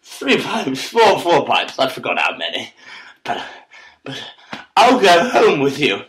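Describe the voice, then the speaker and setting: A man's voice: fairly high pitch, wildly swooping pitch, takes it slowly, fairly bright tone, exaggerated voice. A man playing a wretched, muddled opium addict in an audiobook drama, stammering, whining and repeating himself. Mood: ashamed, pleading.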